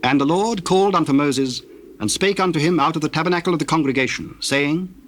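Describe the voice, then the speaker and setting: A man narrating dramatized scripture in a solemn, measured and deliberate way. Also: on the high side. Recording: faint noise under the voice.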